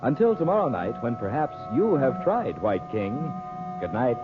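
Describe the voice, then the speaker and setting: American radio announcer, phone-like vintage sound. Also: male voice, mid-range voice, huge pitch swings, normal speed. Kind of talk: old-time radio advertising, folksy scripted pitch. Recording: phone-like old radio broadcast, music behind.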